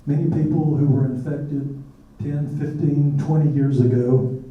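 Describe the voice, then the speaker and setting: A man in his sixties with a raspy voice, giving a halting public talk at a community forum. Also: on the high side, steady tone, highs cut off. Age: 60s. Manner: halting, pauses with um and uh. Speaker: guest speaker sharing his illness story. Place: lecture hall. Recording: echoey hall, mic far off.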